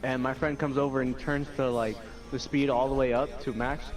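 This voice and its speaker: A man's voice: mid-range voice, little pitch movement, moderate pace, music in the background, rough recording. A young man casually telling anecdotes to a friend, rambling, slangy and full of swearing. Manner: slangy, profane, loose and rambling.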